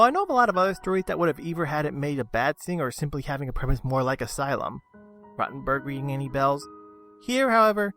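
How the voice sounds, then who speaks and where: A man's voice: on the high side, fairly bright tone. A man reading a scripted review as a video reviewer. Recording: music under the voice. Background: background music.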